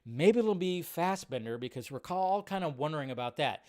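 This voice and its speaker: A man's voice: a little high, lively intonation, moderate pace. A man hosting a live show, chatting casually and directly to viewers in a rambling, colloquial way. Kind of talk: casual livestream chat.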